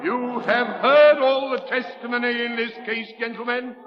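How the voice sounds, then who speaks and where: An actor playing a solemn coroner at an inquest in an old radio drama, formal, measured and declamatory. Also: male voice, extremely high pitch, steady tone, heavy background noise. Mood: solemn, official. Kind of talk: 1930s radio acting. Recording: muffled old radio, long hall reverb.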